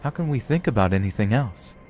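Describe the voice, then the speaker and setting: A man voicing a gentle, war-weary wife in a story, soft and resigned. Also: on the low side, animated, fairly quick, faint music behind, pitched above the performer's own voice, close to an everyday voice.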